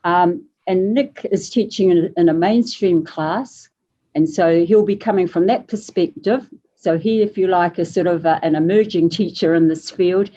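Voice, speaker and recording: An older woman speaks in a low, mellow voice. She introduces a panel in a measured, formal way. Her voice comes through a dull, lo-fi video call. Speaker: panel moderator. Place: small indoor room.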